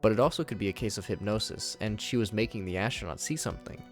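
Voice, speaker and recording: A man's voice: fairly low pitch, fast, faint music behind. A man hosting a video recap, narrating scripted commentary on horror episodes.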